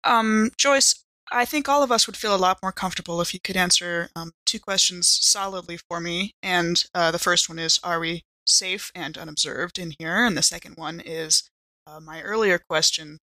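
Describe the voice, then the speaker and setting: A woman's voice: on the low side, normal speed, sharp tone, no room sound, rough recording. A woman playing a tabletop role-playing game, improvising conversation in character.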